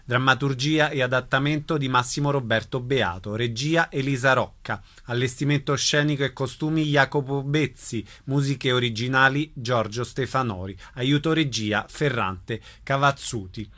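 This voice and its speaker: Male announcer, crisp scripted read with a sharp, forceful tone. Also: mid-range voice, normal speed, few pauses. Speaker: radio announcer. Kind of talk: scripted announcement of show credits.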